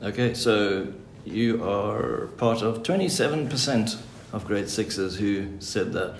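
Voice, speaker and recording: A man's voice: on the low side, slow pace, a little hiss or hum. A man hosting a podcast, moving between scripted narration and informal interviewing. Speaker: podcast host.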